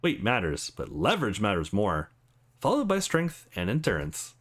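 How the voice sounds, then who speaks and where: A man's voice: on the low side, huge pitch swings, normal speed, fairly bright tone, slightly rough, pitched below the performer's own voice, heavily acted. A man voicing a tough woman sparring partner from a visual novel, mocking and coolly formal, with clipped commands. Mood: taunting.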